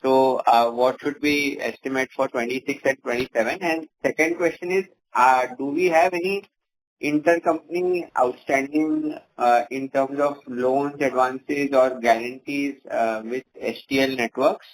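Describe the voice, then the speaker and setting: A man's voice: mid-range voice, steady tone, measured pace. A man, an investor caller asking questions on an earnings call. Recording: faintly noisy call line.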